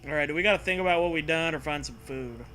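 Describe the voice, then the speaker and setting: A man's voice: fairly high pitch, fast, pushed and bright, slight background noise. A man co-hosting a comic read-aloud, playful and given to exaggerated emphasis between bits of banter. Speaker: co-host reading aloud.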